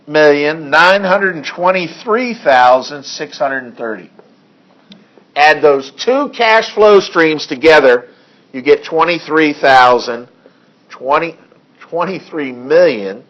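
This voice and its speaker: A hoarse male accounting instructor in a classroom lecture, reading figures deliberately and adding dry asides. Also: fairly high pitch, a bit bright, dull audio. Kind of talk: classroom lecture working through problems.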